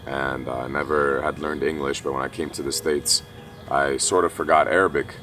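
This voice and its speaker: A young man from Kuwait whose first language is Armenian, earnestly telling his life story with many fillers and pauses. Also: rumbling low, fairly even intonation, sharp tone, distant mic, faint music behind. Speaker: esports player profiled. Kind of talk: reflective personal storytelling.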